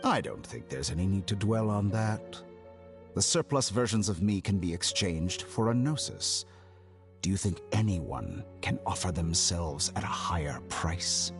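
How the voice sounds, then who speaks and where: A man's voice: low-pitched, expressive, a little slow, music in the background. A man playing an arrogant, calculating villain scholar in a video game, speaking in a formal, theatrical, condescending manner. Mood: cool, smug.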